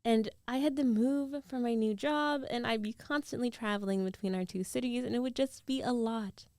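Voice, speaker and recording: A woman playing a concerned, teasing young ex-girlfriend in an intimate ASMR roleplay, speaking softly and haltingly into a close microphone. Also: a little high, no echo, natural voice. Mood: hesitant, regretful.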